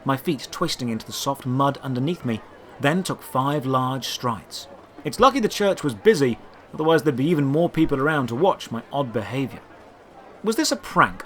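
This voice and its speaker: A man's voice: a little high, a bit bright, slight background noise. A man narrating a scripted first-person adventure story, with outdoor ambience behind him. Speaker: narrator and creator of an audio drama.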